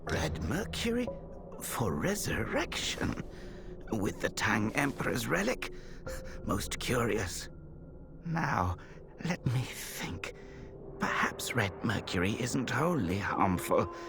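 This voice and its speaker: An actor playing a curious, calculating scholar in a video game, pondering aloud with deliberate, theatrical expression. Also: male voice, mid-range voice, big pitch swings, gravelly, heavily acted. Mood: intrigued, pondering. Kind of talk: video game cutscene acting. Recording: music behind the voice.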